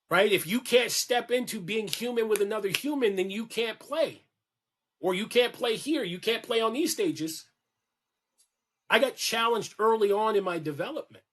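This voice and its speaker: A man's voice: high-pitched, a bit bright, husky. A man speaking as a podcast guest, giving an impassioned, preachy monologue that repeats key lines for emphasis. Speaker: guest on a podcast, kink educator.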